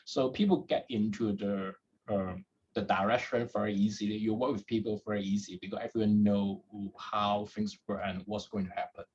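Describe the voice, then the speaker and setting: A man from Hong Kong with a low, husky voice, speaking as a podcast guest. He talks in halting phrases and often repeats words while he thinks. The recording sounds dull and lo-fi. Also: fairly even intonation, a little mellow. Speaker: guest, head of data at a startup. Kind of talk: podcast interview answers.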